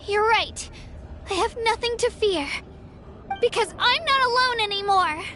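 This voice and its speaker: A woman's voice: very high-pitched, animated, unhurried, over music. An actress voicing a sweet, earnest little girl warrior in an anime-style video game, polite and sincere. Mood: newly brave.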